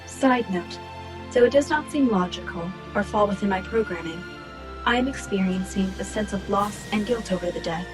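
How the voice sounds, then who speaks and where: Woman doing a female spaceship computer, formal n measured but kinda sad and guilty, sci-fi radio play, bit of music. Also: mid-range voice, a little mellow, natural voice, low-quality recording. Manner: formal, measured, precise phrasing. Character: ship's computer, female, logical yet guilt-ridden and sorrowful. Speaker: actor in a student radio play.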